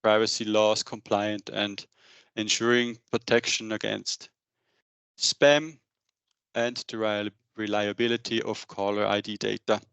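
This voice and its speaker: A man in his thirties giving a semi-scripted business webinar presentation into a headset, with a halting delivery. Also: fairly low pitch, little pitch movement, gravelly, a bit bright, clean tone, dry recording, dull audio. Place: indoor room, on a video call.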